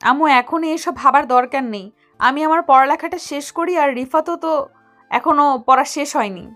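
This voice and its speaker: Woman acting young wife, high voice, shy, coy, affectionate, romantic audio drama, music behind. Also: normal speed, a bit bright. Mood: bashful protest.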